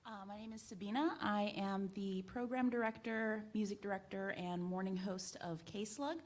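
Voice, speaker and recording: A woman radio host describing her job casually on a live panel, pausing between phrases. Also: mid-range voice, fairly even intonation. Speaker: radio program director and morning host. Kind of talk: spontaneous self-introduction on a live panel. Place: live event at a playhouse.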